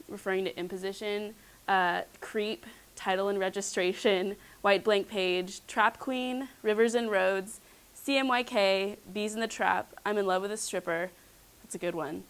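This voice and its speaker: A woman's voice: mid-range voice, smooth, rough recording. A young woman, a graduating student, talking in a playful, upbeat way. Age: young adult. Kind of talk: casual personal introduction.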